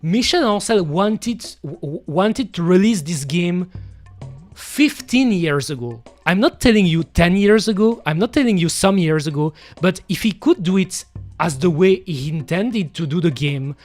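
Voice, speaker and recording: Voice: medium pitch, lively intonation, unhurried, soft background music. Streamer. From Belgium. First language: French. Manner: rambling, with frequent fillers and casual swearing. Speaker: gaming streamer. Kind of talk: casual chat while playing.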